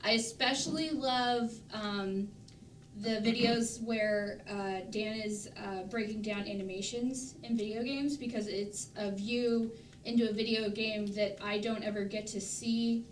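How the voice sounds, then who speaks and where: Woman panelist chatting casually, highish voice, echoey room, bit off mic. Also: steady tone, measured pace. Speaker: stream panelist. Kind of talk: casual chat. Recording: roomy, distant mic, faint rumbling noise.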